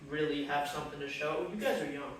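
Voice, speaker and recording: A man's voice: fairly high pitch, fairly even intonation, fast talker, very noisy. Earnest man in his twenties, echoey. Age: 20s. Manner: earnest, repeats himself, casual swearing. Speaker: podcast co-host. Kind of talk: casual podcast chat. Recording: echoey room, mic far off.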